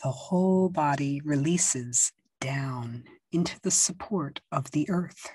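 Woman meditation guide, low and breathy, unhurried soothing delivery, dry close sound. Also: no room sound. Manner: slow, soft and soothing, with long pauses and gentle instructions. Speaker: yoga nidra teacher.

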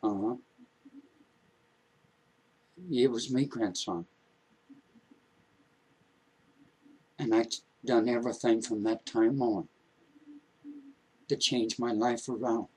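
A man's voice: medium pitch, fairly even intonation, a little slow, a little mellow, husky, voice pushed down, rough recording. A man voicing a patient, remorseful old grandfather, speaking tenderly and repeating his words. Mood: confessing.

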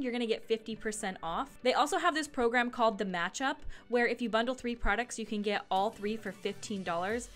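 A woman's voice: medium pitch, a bit bright. A young woman, giving a casual, laid-back tutorial voice-over as a beauty vlogger. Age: young adult. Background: background music.

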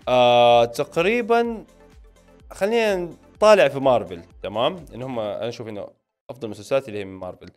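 A man's voice: medium pitch, animated, quick pace, faint music behind. A man in his late twenties with a Hejazi Saudi accent, chatting informally to viewers as he reads out questions and answers them, close to a studio microphone. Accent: Saudi Hejazi Arabic. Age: late 20s. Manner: informal, conversational, addresses viewers directly. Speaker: film and series YouTuber. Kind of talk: casual Q&A to camera, reading viewer questions aloud and answering. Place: small indoor home studio. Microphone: microphone on a stand close in front.

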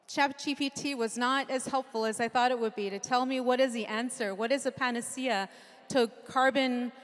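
A middle-aged woman from Japan giving a conference keynote into a handheld mic, earnest and lightly humorous, listing ideas in rhythmic runs. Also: a little high. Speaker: keynote speaker. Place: large conference stage with audience. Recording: long hall reverb from a big venue.

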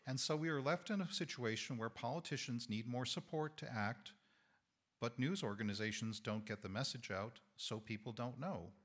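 A man in his sixties giving a measured, earnest sermon-style talk to a congregation, on a lapel mic in an indoor hall. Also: mid-range voice, fairly bright tone. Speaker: guest speaker.

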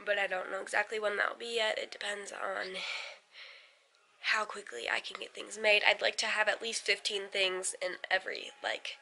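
A woman's voice: medium pitch, level tone, moderate pace, creaky, bright, forceful tone. A young American woman, around twenty, chatting in an informal, rambling way as in a casual home vlog. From United States. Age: late teens to about twenty. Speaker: vlogger, young mother. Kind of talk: casual family vlog chat. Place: indoors at home. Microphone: handheld camera at arm's length. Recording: noticeable background noise, handheld home recording.

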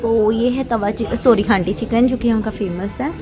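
A woman's voice: on the high side, moderate pace, over music. A young woman in her twenties vlogging casually on a trip, talking straight to her viewers. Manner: chatty, addresses viewers directly. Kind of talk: casual travel vlog chat. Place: streets, car and restaurant, mixed indoors and outdoors. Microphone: handheld phone camera at arm's length. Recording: loud background noise from streets and traffic.

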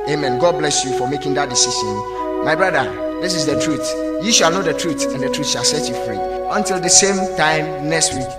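A man's voice: a little high, moderate pace, loud music behind the voice. A man preaching an evangelical sermon, exhorting emphatically and putting rhetorical questions to his listeners.

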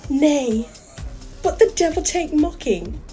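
A woman's voice: high-pitched, expressive, takes it slowly, over music. A woman playing an eager, impatient, lovestruck young woman in a Shakespearean audio comedy.

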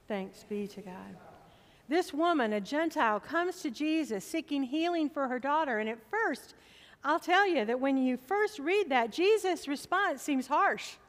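A middle-aged woman pastor preaching a sermon from the pulpit of a church, with slow, emphatic appeals to the congregation. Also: sits high, animated, long reverb tail.

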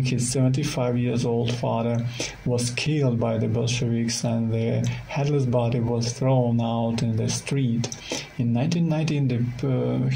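A man with a Russian accent guides a tour in careful, halting non-native English. His voice is dark and relaxed, with a good deal of creak at a middling pitch. He sounds like he is following a prepared script, with faint noise behind him. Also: rough recording. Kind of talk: scripted virtual tour narration.

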